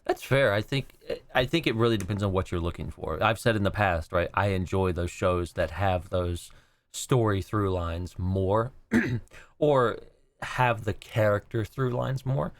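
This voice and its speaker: Low-voiced man in easygoing podcast chat. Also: measured pace. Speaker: podcast co-host. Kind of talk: casual chat, giving opinions.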